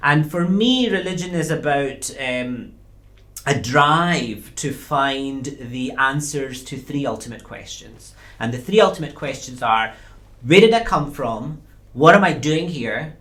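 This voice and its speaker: A man's voice: mid-range voice, animated, a bit bright. Thoughtful male lecturer. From Scotland. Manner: thoughtful, measured, explains with pauses and fillers. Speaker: university lecturer, guest. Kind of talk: interview. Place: small studio.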